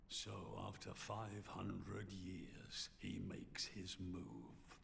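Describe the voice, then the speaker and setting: A man playing a grave, weary ruler with a gravelly voice in a video game cutscene. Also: sits low, animated, very slow, a bit bright, exaggerated voice. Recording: reverberant hall, faint ambient noise.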